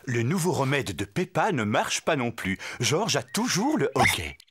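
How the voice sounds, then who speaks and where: A woman narrating a French children's cartoon in simple, clear storytelling style. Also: very deep voice, big pitch swings, moderate pace, heavily acted. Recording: cartoon music behind the narration.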